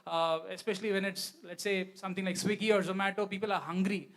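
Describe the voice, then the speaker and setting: A man with an Indian accent answering questions as a guest speaker, explaining his points haltingly and without a script. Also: sits high, fairly even intonation. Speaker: guest speaker, company founder. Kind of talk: unscripted question-and-answer on stage.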